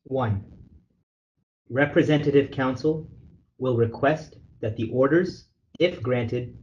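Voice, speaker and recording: A man lawyer reading a formal legal letter aloud on a conference call, in a measured, formal way. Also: mid-range voice, a little mellow. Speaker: lawyer, representative counsel leading a call. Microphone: telephone conference call. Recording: dull conference phone call with noise.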